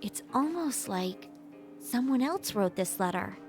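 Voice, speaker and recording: A woman's voice: fairly high pitch, very animated, unhurried. An actress playing a perky, scatterbrained, good-hearted teenage girl in a video game, talking in a casual, blurting way. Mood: puzzled. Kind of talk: English video game dub acting. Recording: game music behind the voice.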